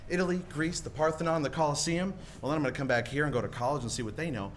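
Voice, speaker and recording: A man's voice: a little high, very fast, natural voice. A man playing an eager, dreamy young small-town man in love, stammering and rambling in 1940s radio play style. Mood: dreamy, boastful. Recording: live stage performance, audible background noise.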